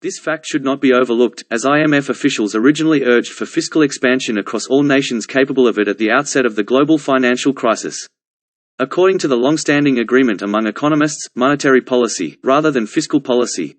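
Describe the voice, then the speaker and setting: A man's voice: mid-range voice, fast. A man reading scripted text aloud as an exam practice narration. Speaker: reader of exam practice passages.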